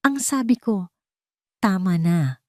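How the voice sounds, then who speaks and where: A wealthy grown son, as a woman storyteller plays him, speaks with restraint and quiet firmness. His lines are terse and controlled, delivered in a dry audio drama recording. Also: medium pitch, lively intonation, slow, no room sound. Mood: firm. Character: rich adult son, restrained and quietly firm.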